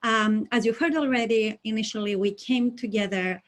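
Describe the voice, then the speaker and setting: Earnest Greek-born woman presenting. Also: a little high. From Greece. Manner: earnest, measured, frequent ums and uhs. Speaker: coalition member presenting a manifesto. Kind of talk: prepared online presentation.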